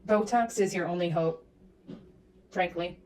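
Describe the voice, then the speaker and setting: Distant, low-pitched woman giving sarcastic commentary. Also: unhurried, darkish tone. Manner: sarcastic, disapproving. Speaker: commentator reacting to clips of a streamer. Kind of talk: critical reaction commentary.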